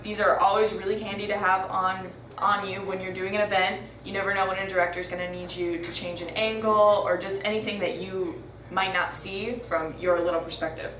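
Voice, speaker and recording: A woman's voice: medium pitch, level tone, brisk, hardly any pauses, a bit bright. A young woman giving a casual how-to talk on using walkie-talkies, speaking directly to her crew. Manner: friendly and direct, addressing the crew. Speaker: student crew tutorial presenter. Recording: roomy, far from mic, faint noise.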